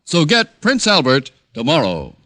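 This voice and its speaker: A man's voice: fairly high pitch, wildly swooping pitch, a little slow. An American man announcing and selling in hearty mid-century radio advertising style. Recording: old radio recording with music behind.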